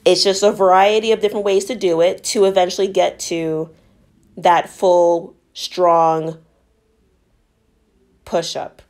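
A woman's voice: fairly low pitch, little pitch movement, measured pace, long pauses, a little mellow. A woman narrating a workout as a fitness instructor, explaining each move in an encouraging, instructional way.

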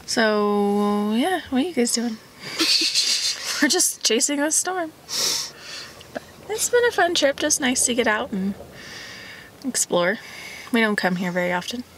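Lively high-voiced woman in a car. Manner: chatty and expressive, quick to laugh. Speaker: outdoor vlog co-host. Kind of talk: casual vlog chat. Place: inside a car in the rain. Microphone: dashboard camera close to her face. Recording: noticeable rain and car noise.

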